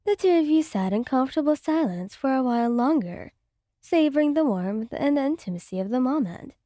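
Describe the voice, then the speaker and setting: A woman narrating a romantic fanfic as an ASMR reading, in a soft, gentle storytelling voice into a close mic. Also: sits high, lively intonation, fairly quick, dry recording.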